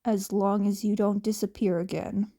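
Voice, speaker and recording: A woman voicing a blunt, stern teenage boy with a deadpan, grumbling way of talking in fan fiction dialogue. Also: medium pitch, normal speed, pitched below the performer's own voice, natural voice. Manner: deadpan, grumbling, plain-spoken.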